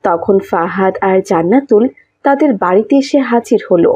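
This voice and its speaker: A woman's voice: mid-range voice, lively intonation, normal speed, warm tone, husky, no echo, highs cut off. A woman narrating a scripted story as the storyteller.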